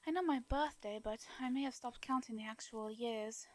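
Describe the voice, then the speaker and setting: A woman with an English accent voicing a gentle, anxious, centuries-old vampire in an intimate fantasy audio roleplay, speaking softly and breathily, with hesitant, formal wording. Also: fairly high pitch, moderate pace, close to an everyday voice. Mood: wistful, musing. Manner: hesitant, stammering, formal old-fashioned speech.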